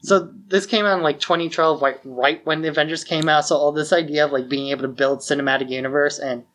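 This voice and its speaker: A man's voice: fairly high pitch, fairly even intonation, quick pace, fairly bright tone. A man co-hosting a podcast, giving opinions in casual, conversational film discussion with "like" sprinkled through his sentences. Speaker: podcast co-host.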